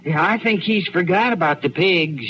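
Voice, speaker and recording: Polite, eager farm son, old radio western: high bright voice, rural American, noisy vintage recording. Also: male voice, measured pace, muffled recording. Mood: eager, friendly.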